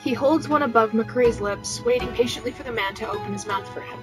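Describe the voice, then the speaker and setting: A woman's voice: a little high, fairly quick, over music. A woman podcast host reading fan fiction aloud in an amateur, casual narration style.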